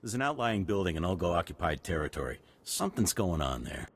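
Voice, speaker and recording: An actor playing a brisk, determined news editor in a video game, husky-voiced, businesslike and emphatic. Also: male voice, sits low, very animated, full character voice. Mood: brisk, grave.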